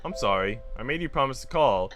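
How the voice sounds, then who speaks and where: A man's voice: medium pitch, lively intonation, a little fast, voice pushed up, natural voice. A man voicing a friendly young woman chatting casually and teasingly in visual novel dialogue, with music behind.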